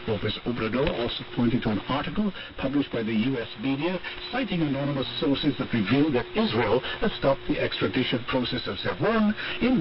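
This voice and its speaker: A man's voice: medium pitch, lo-fi sound. Male newsreader over noisy shortwave radio. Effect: shortwave radio reception. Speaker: radio news reader. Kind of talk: scripted international news bulletin. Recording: noisy, band-limited shortwave radio broadcast. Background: radio static and noise.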